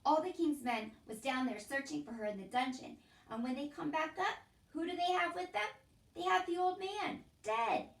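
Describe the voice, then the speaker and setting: A woman voicing a spiteful, loud-mouthed female servant in a storybook reading, scolding and shouting. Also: high-pitched, animated, normal speed, voice pushed up. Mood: scornful. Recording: echoey room, distant from the mic.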